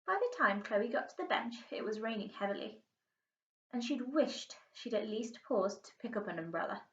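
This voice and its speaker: A woman's voice: fairly high pitch, lively intonation, moderate pace. A British woman, a primary school teacher, reading a storybook aloud expressively. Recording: muffled, echoey room, distant mic.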